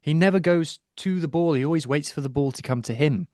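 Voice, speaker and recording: A man's voice: on the high side, fairly quick, mellow, no room sound. Chatty man in a dry home studio. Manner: chatty, explanatory, self-deprecating. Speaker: podcast co-host. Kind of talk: casual chat and rugby analysis.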